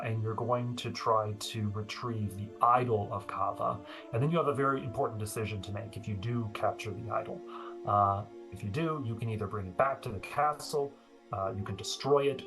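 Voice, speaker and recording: A man running a tabletop game as game master, chatty, laughing often and filling pauses with ums. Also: fairly low pitch.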